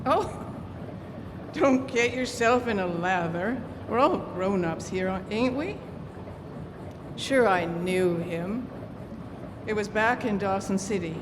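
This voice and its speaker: Actress as a slow-talking, brazen saloon woman. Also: medium pitch, animated. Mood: teasing, brash. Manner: folksy, drawn-out, sardonic. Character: brazen, wry saloon-keeper woman, worldly and defiant. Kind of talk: old-time radio drama acting. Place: live stage staged as a radio studio. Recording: reverberant hall with clear background noise.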